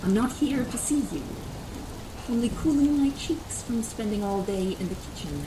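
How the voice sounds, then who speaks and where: A woman's voice: a little high, lively intonation, moderate pace, close to an everyday voice. Girl playing scolding playful young cook, echoey and off mic, rainy noisy background, audio drama. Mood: chiding, teasing. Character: young female cook, chiding and teasing. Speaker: voice actor. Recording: distant, echoey, noisy with rain ambience.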